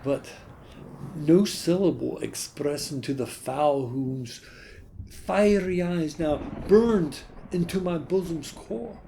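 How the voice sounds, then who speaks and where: A man giving a dramatic, theatrical recitation of a gothic poem, with halting delivery and long pauses. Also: on the high side, gravelly, mellow, slight background noise. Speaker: poetry reader.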